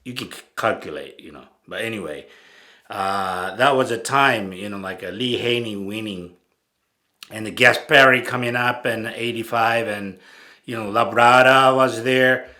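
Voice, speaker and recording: A man from Japan speaks English with a strong Japanese accent, taking his time over every phrase. His voice is bright and forward, and his talk is halting, with frequent fillers and restarts. He sounds like a casual host recalling past events. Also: fairly low pitch. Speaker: channel host. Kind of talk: casual spoken recollection.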